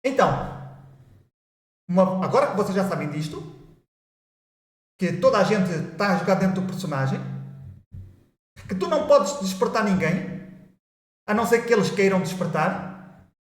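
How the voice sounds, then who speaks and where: A man's voice: sits high, expressive, normal speed, pauses often, roomy sound. A man with a European Portuguese accent giving a rambling, repetitive spiritual talk, restarting his sentences and mocking people's excuses. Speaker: spiritual video host. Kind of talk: informal spiritual monologue.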